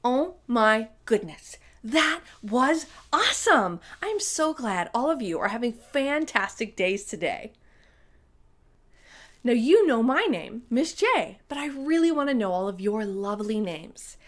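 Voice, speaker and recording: A woman's voice: fairly high pitch, big pitch swings, a little slow. A woman hosting a children's story time, reading a storybook and addressing young listeners directly with exclamations.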